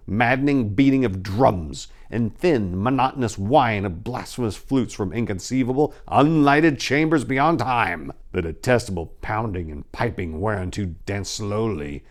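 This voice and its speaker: A man voicing a haunted, dread-stricken narrator of cosmic horror, reading theatrically and solemnly with swelling and sinking delivery. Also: lowish voice. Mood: horrified, frenzied. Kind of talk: dramatic horror story reading.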